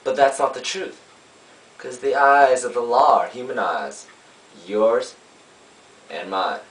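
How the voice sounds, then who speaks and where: A man's voice: mid-range voice, voice pushed up, close to an everyday voice. Man acting earnest young defense lawyer, roomy sound. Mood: impassioned. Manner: oratorical, emphatic, deliberate pauses. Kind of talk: dramatic courtroom monologue. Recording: roomy, distant mic, faint hiss.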